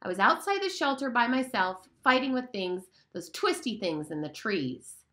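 A small, frightened young boy recounting something earnestly, as a woman reading the story voices him. His voice is piping, high and bright. Its pitch rises and falls widely. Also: very animated, moderate pace.

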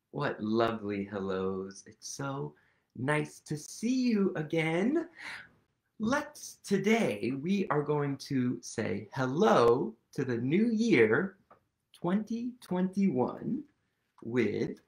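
A playful man in his thirties reads a picture book to small children in a slow, unhurried way. His pitch swings widely as he coaxes the kids along, and a gravelly edge sits under his voice. He sits on a sofa at home, talking into a webcam. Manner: animated, playful, sing-song, whispers for effect. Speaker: children's storytime reader. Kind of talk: read-aloud for young children. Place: living room at home, seated on a sofa.

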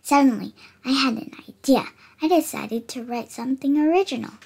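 A woman's voice: high-pitched, fairly quick, creaky, darkish tone, slightly rough. A girl of about eight reading a picture book aloud, carefully and expressively, with small stumbles. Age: child, about 8. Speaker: child reader of picture books. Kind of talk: storybook read-aloud.

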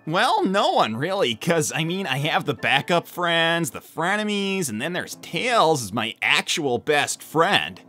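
A woman's voice: low voice, big pitch swings, a little slow, few pauses, a bit bright, faint music behind, heavily acted. Sonic, a woman voicing him, a cocky hedgehog boy. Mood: flippant. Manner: flippant, teasing, dismissive. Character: brash cocky teen male hedgehog hero. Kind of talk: fan-made cartoon voice acting.

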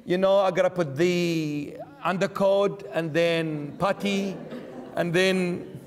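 A man's voice: high voice, steady tone, takes it slowly. A middle-aged man preaching an emphatic sermon in a church, driving points home with repeated lists and rhetorical questions. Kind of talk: sermon commentary. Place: church sanctuary, indoors. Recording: reverberant church hall.

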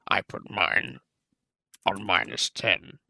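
A man voicing a menacing gunslinger villain with a gravelly, creaky, slow drawl, narrating a tutorial in character. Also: low-pitched, expressive, pushed and bright, dry recording, clean studio sound, pitched below the performer's own voice, exaggerated voice. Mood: slow, deliberate.

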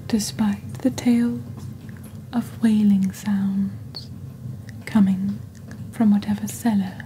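A woman voicing a witch who presents magical wares in soft-spoken, breathy, deliberate ASMR style, close to the microphone. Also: medium pitch, fairly even intonation, a little hiss or hum, lightly acted. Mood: hushed, mysterious. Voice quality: breathy, whispery. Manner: slow, deliberate, hushed storytelling. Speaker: ASMR performer as shopping channel presenter. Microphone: close desk microphone.

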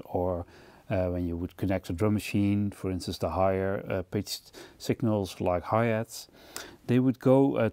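A man with a fairly low, mellow voice gives an instructional walkthrough of music equipment. His delivery is measured and explanatory. The sound is very dry and close to the microphone. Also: no echo. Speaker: presenter of music gear demo videos. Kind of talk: instructional product overview narration.

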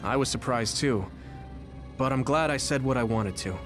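A man plays a resolute young male soldier in an anime game. He speaks plainly and earnestly at a middle pitch, with a loud musical score behind him. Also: normal speed, music in the background, natural voice. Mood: candid, calm. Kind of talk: English-dubbed anime game acting.

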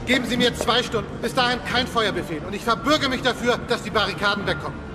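An idealistic revolutionary parliamentarian of 1848 in a historical docudrama. He speaks with conviction and bitterness, his voice moving high when he presses his case. Prominent music plays behind him. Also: expressive, fast. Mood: stern. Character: impassioned, later disillusioned revolutionary parliamentarian of 1848.

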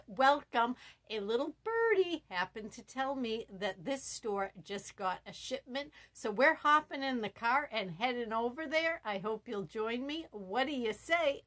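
A woman's voice: fairly high pitch, a bit bright, no echo. A woman in her forties narrating a casual shopping vlog, chatty and enthusiastic as she talks to her viewers. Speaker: shopping vlogger.

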